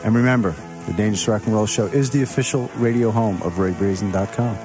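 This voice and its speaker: A man's voice: lowish voice, quick pace, over music. The guy on a weekend rock radio show, cracking puns and trading trivia from the studio as records play behind him. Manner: joking, with puns and rambling asides. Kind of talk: casual music trivia banter.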